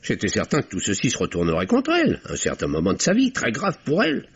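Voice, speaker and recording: An actor playing a pompous, self-righteous middle-aged French uncle in a 1950s-style radio drama, speaking formally and defensively. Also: male voice, on the low side, huge pitch swings, fast talker, breathy edge, full character voice. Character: pompous, indignant, self-righteous older uncle under questioning. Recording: dull vintage radio recording.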